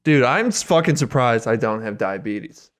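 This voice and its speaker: Male co-host, middle-pitched, for off-the-cuff joking podcast chat in loose, sweary slang. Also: lively intonation, normal speed. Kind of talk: casual comedic chat.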